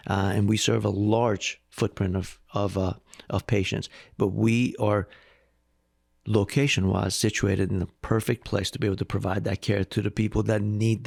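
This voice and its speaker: A man's voice: fairly low pitch, vocal fry, darkish tone, no echo, studio quality. A middle-aged man from Iran, a hospital president, telling his life story in an earnest, reflective interview. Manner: earnest, reflective, speaks with conviction.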